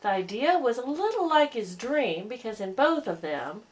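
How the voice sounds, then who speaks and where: A woman reading a children's storybook aloud in an expressive narrating style. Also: fairly high pitch, normal speed.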